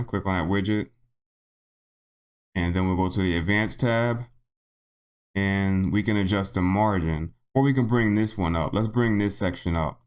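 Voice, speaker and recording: A man narrating a software tutorial in a casual, unscripted way, thinking aloud and repeating himself, his voice slightly hoarse. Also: low-pitched. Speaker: tutorial host.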